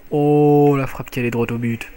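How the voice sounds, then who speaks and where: A young man with a French accent giving live commentary on his own online match from home, excitable and jokey, swearing often. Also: medium pitch, normal speed, clean tone. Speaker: gaming commentator. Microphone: headset mic.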